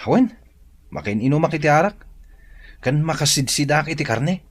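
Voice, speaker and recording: A man's voice: fairly high pitch, expressive, normal speed, slightly rough. A man with an Ilocano accent playing a boozy, carefree, wheedling young husband in a comedy drama, in an exaggerated drunken drawl.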